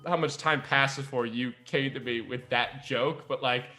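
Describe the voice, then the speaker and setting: A man's voice: medium pitch, steady tone, normal speed, bright, forceful tone. A young man hosting a casual group chat, talking in a rambling, jokey way. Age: young adult. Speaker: podcast host.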